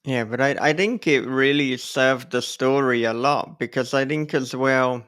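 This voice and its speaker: Male podcast host, medium pitch, crisp resonant voice. Casual, wordy, effusive, swears lightly. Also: a little slow, fairly bright tone.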